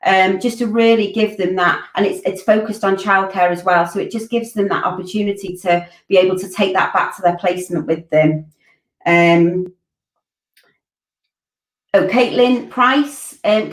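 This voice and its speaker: A woman in her forties hosting a college Q&A stream from home, answering viewer questions informally with lots of ums and restarts on a laptop webcam. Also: mid-range voice. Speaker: college curriculum director hosting a Q&A. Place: home room, indoors. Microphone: laptop webcam on a video call. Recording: roomy laptop mic from a distance.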